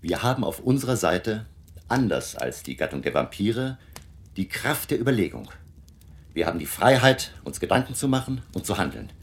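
An actor playing a grave, learned vampire hunter who lectures his allies deliberately and emphatically in a German radio drama. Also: lowish voice, very animated, a little hiss or hum. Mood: grave, resolute.

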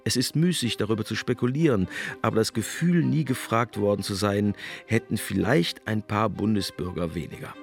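A man narrating a scripted essay commentary in a measured, reflective manner. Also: on the low side, gravelly, faint music behind.

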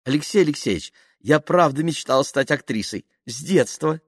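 A man voicing an eager, touchy woman who dreams of acting, in a gushing, expressive storybook manner. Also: on the high side, moderate pace, no room sound, clean studio sound, pitched above the performer's own voice. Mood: hopeful, earnest. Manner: gushing and expressive, easily offended.

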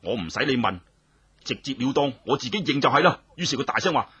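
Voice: medium pitch, lively intonation, quick pace, fairly bright tone, breathy edge, dull audio. A Cantonese storyteller narrating an audiobook dramatically, with colloquial exclamatory asides. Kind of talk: animated scripted audiobook storytelling.

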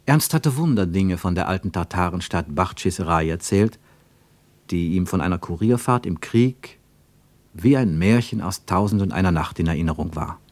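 Male narrator, fairly low voice, dry studio, measured literary reading. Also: animated, darkish tone, dry recording. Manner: measured, descriptive, poetic. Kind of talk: scripted literary narration in a radio play.

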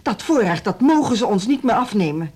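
An actress in a radio drama playing a proud, disapproving middle-aged music teacher with an articulate, earnest and cutting manner. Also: female voice, mid-range voice, very animated, brisk, mellow, breathy edge. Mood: firm.

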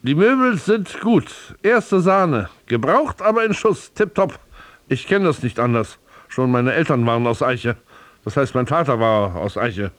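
Slow, swooping, bossy landlord, played by a man. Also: medium pitch, lively intonation, no echo, lightly acted. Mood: jovial. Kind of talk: radio drama acting.

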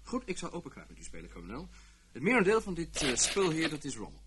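An actor playing a shrewd, polite American collector in a radio play, flattering and bargaining, sprinkling English words into his Dutch. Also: a little high, fast talker. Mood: frank, bargaining. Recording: noisy old radio drama recording, clicks.

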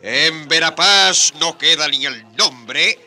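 Slow, forceful, gloating colonial villain. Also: male voice, a little high, heavily acted. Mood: gloating, scheming. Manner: slow, mocking, menacing. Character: gloating, cruel colonial landholder, a scheming villain. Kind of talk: radio drama acting.

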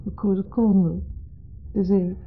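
Husky, reserved woman, muffled radio sound. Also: medium pitch, little pitch movement, a little slow. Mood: nostalgic, tender. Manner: reserved, brief, understated replies. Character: guarded, nostalgic married woman talking with her ex-husband. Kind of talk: 1970s Dutch radio drama acting. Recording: muffled, lo-fi 1970s radio recording.

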